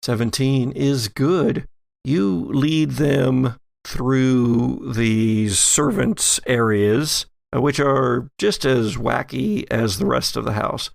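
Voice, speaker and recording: A man narrating a tabletop horror game as game master, in a measured, halting storytelling manner. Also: medium pitch, creaky, no room sound.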